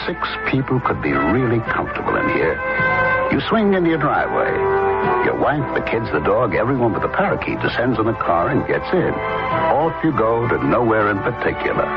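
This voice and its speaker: A man announcing a storytelling radio advertisement in short, punchy phrases. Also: on the low side. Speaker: commercial announcer. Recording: dull old radio, loud music.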